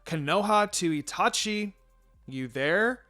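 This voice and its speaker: A man voicing a feisty young ninja woman in a fan fiction story, blunt and expressive. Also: high voice, slow pace, faint music behind, voice pushed up, exaggerated voice. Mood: teasing, concerned.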